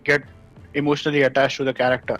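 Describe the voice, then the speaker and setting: A man's voice: medium pitch, level tone, racing, fairly bright tone. A man in a casual discussion, earnestly repeating himself to press his point. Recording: faint music behind the voice.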